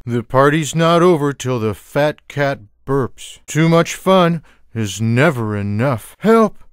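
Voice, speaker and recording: Casting: smug, sarcastic fat cat in a cartoon game; mid-pitched, deadpan, slow delivery. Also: male voice, smooth, dry recording, studio quality. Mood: exclaiming. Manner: deadpan, wry one-liners. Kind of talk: video game character voice clips.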